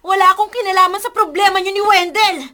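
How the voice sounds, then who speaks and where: A woman's voice: very high voice, steady tone, fast, bright, forceful tone. A woman playing a frightened, defiant young hostage in a radio drama. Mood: pleading, tearful.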